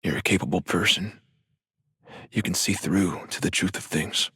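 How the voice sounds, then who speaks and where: An actor playing an earnest, grave young politician in a video game cutscene, with a husky, breathy, restrained, somber voice. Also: male voice, sits low, moderate pace, fairly bright tone, no echo, clean studio sound, exaggerated voice. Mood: somber, resolute.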